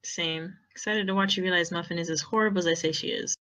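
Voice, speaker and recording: Lowish, dry-sounding woman as a teasing guy. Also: fairly even intonation, fast talker, a bit bright, no echo, close to an everyday voice. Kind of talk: amateur fan fiction audio drama.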